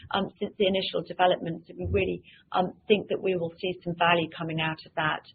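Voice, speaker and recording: Woman, company executive, investor presentation, measured and hesitant, narrow phone-like audio, faint noise. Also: fairly low pitch. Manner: measured, hesitant delivery with frequent ums and repeated words. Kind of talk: investor presentation, semi-scripted.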